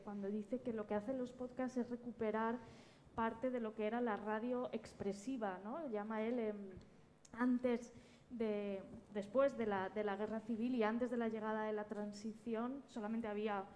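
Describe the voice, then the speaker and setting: Spanish woman from spain chatting on a panel, soft dark tone, enthusiastic, slight background noise. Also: a little high. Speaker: panelist, podcast producer. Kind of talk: panel discussion, conversational. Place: live round-table event.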